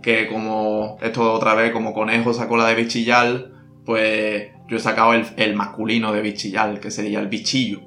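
A man's voice: fairly low pitch, monotone, moderate pace, pushed and bright. A man playing a brash, touchy male reggaeton singer, full of slang. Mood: defensive. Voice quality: slangy, keeps saying brother, prickly. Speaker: guest artist. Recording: roomy with faint music.

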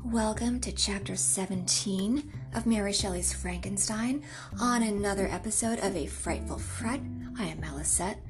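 A woman's voice: mid-range voice, moderate pace, music in the background. A woman hosting a story podcast, chatting informally and narrating with theatrical delivery. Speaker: podcast host and reader.

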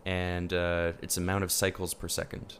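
Low-voiced guy, geeky and excited, lots of ums, faint noise behind. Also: moderate pace. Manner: enthusiastic, nerdy, many ums. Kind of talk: casual chat.

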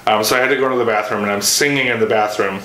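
A man's voice: on the low side, steady tone, racing, bright, forceful tone. A man, a musician guest chatting in an upbeat, easy way. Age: 30s. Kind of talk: casual chat. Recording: echoey room with faint noise.

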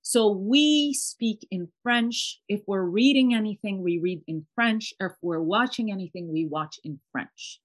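An earnest, chatty woman in her thirties speaks as an interview guest, telling about her days at home with her children. Her pitch sits in the middle for a woman, and she talks in a relaxed, conversational way. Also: unhurried, few pauses, poor audio. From Palestinian family, raised in Canada. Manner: earnest, chatty, sprinkles Arabic phrases. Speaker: guest, homeschooling mother. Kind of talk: casual interview chat.

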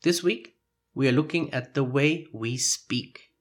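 A man's voice: medium pitch, a little slow. A man with a foreign accent hosting a solo podcast, explaining his ideas in a reflective way. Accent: foreign accent in English. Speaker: podcast host.

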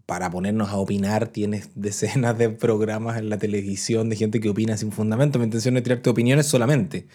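Chilean man hosting a podcast, mellow. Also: on the low side, steady tone, brisk. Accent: Chilean Spanish. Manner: chatty, restarts phrases, reads out listener messages. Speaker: podcast host. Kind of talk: casual podcast talk.

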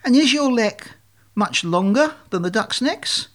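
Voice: medium pitch, animated, measured pace, fairly bright tone, pitched above the performer's own voice. A performer voicing a male swan leader in a storybook, explaining things emphatically with well-meaning but strained patience. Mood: exasperated.

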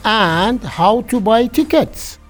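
Lively, slow-reading woman narrator. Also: a little high, soft background music. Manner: expressive, questioning reading. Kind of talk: scripted narration.

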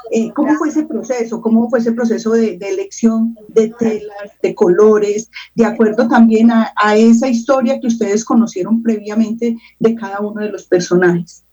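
Female Colombian panelist, slightly high husky voice, off-mic, conversational questioning. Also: normal speed, hardly any pauses, mellow, far from the mic, dull audio. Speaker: teacher asking questions on a panel.

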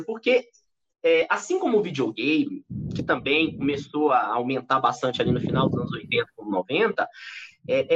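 A Brazilian man in his thirties, a guest in an interview, talking in a chatty, animated way with frequent restarts. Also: a little high, breathy edge. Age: 30s. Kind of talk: casual interview talk. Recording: dull lo-fi audio.

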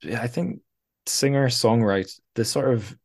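A man's voice: mid-range voice, normal speed, gravelly, husky. A man hosting an interview podcast in casual chat, hesitant and often correcting himself as he goes.

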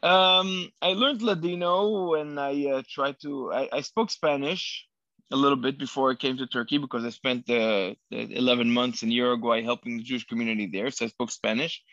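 A man's voice: mid-range voice, steady tone, normal speed, fairly bright tone, no room sound. A man whose first language is Hebrew, a rabbi answering interview questions in English with many fillers and restarts. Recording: dull lo-fi dry sound.

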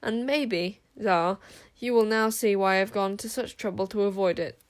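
A woman's voice: medium pitch, moderate pace, close to an everyday voice. Woman playing a wry, weary enchanter king. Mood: sad, resigned.

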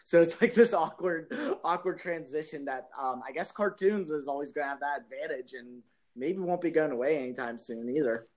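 Guy on a podcast just chatting, bit on the higher side, explains stuff real informally. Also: fairly quick. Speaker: podcast panelist. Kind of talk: casual chat.

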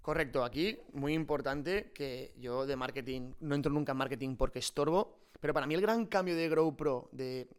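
A man's voice: mid-range voice, moderate pace, few pauses, smooth. A man from Spain with a Peninsular Spanish accent, telling stories informally and colloquially as a podcast guest. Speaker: podcast guest, startup co-founder. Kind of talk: improvised conversational interview.

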